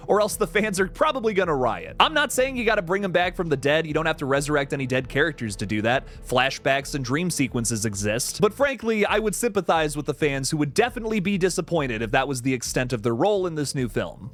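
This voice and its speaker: A man's voice: a little high, a bit bright. A man hosting a video essay on movie news, talking in a colloquial, opinionated way with some mildly crude slang. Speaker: YouTube host and commentator on horror films.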